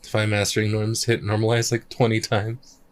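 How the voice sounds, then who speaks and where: A man chatting casually on a live stream, thinking out loud as he works on his music, his voice a little croaky. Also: on the low side, little pitch movement, moderate pace. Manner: rambling, thinks aloud while working. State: slightly croaky voice. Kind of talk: casual livestream chat.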